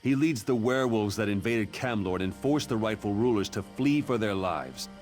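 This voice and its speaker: Actor as a low-voiced, exasperated court chamberlain. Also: male voice, animated, moderate pace, lightly acted. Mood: worried, scornful. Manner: formal, exasperated, wry. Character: harried, scornful male court chamberlain. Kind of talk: fantasy video game dialogue. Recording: music behind the voice.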